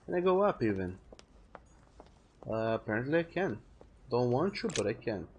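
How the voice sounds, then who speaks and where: A man thinks aloud while he plays a game on stream, rambling and restarting his sentences. His voice sits at a middle pitch. A faint hiss runs underneath. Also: sing-song, moderate pace, lo-fi sound. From France. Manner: rambling, self-correcting, chatty. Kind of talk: casual gameplay commentary.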